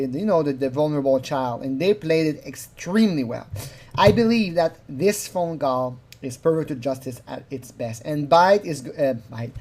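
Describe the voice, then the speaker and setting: A man's voice: on the high side, a little mellow. A man giving informal, unscripted commentary on a recording, rambling and acting out quotes as he explains. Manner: rambling, animatedly acts out quotes. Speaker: commentator analysing a recorded phone call.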